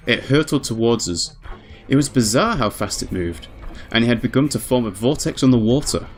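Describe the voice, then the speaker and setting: A man's voice: mid-range voice, animated, normal speed, lightly acted. A man voicing a proud young witch who narrates her tale in a grave, formal, ornate way. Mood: tense narration. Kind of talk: dramatic visual novel narration. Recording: music underneath.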